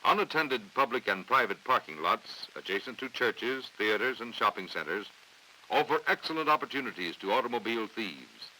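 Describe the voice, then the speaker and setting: An American man reading scripted training film narration in a formal, authoritative, measured way on a vintage 1950s soundtrack. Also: mid-range voice, animated, pushed and bright, a little hiss or hum, low-quality recording. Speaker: documentary narrator.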